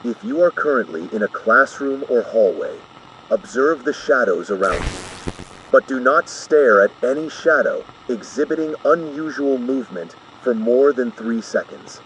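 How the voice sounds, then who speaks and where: A man playing a calm, formal emergency broadcast announcer in an analog horror piece, measured and ominous. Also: medium pitch, a little hiss or hum, poor audio.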